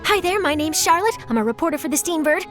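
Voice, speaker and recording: An eager young reporter from an anime game speaks in an extremely high, perky voice. She is polite, articulate and inquisitive, with music clearly playing behind her. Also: lively intonation, fast talker. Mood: eager, professional. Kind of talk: anime video game voice acting.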